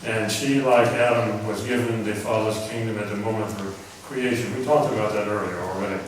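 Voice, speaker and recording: A soft-spoken man giving a rambling religious talk to an audience, often clearing his throat. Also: fairly low pitch, steady tone, brisk, slight background noise. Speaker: religious speaker. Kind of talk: informal lecture to an audience. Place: meeting hall before a live audience. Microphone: microphone through a speaker system. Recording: echoey hall, heard from far off.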